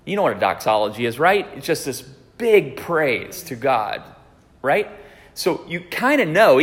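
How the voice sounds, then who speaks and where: Man in his forties, pastor teaching in a church, chatty, hall reverb. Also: on the high side. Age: 40s. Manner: conversational, checks in with listeners. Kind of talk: teaching sermon. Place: church sanctuary.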